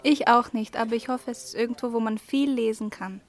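A woman's voice: a little high, fairly even intonation, a little fast, close to an everyday voice. A young female pupil playing a bookish, thoughtful young woman in an amateur school radio play.

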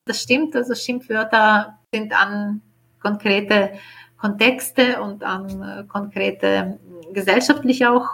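A woman whose first language is Ukrainian, explaining her research in a studio interview, thoughtful and hesitant with many pauses. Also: medium pitch, fairly even intonation, vocal fry, fairly bright tone, husky. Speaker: linguist guest.

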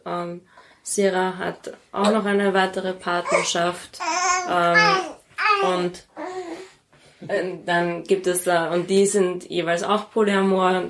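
Young female guest, lowish gravelly voice, flat intonation, explaining thoughtfully. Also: slight background noise. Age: young adult. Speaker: interview guest. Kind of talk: casual explanatory interview talk.

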